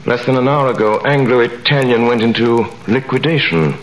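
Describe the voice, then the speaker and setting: An actor playing a vengeful nobleman in a 1930s radio drama, with theatrical, commanding delivery that swings from a cold hush to thundering denunciation. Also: medium pitch, normal speed, slight background noise, natural voice. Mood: icy. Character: vengeful nobleman, a wronged man returned in triumph. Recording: old radio recording in a roomy space.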